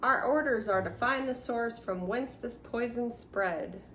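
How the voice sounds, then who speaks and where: A woman's voice: on the high side, unhurried. Woman acting out a commanding male crab colonel, roomy echo. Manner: loud, formal, declaiming in verse. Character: commanding soldier crab colonel, male, barking military orders. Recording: roomy echoey home recording, faint noise.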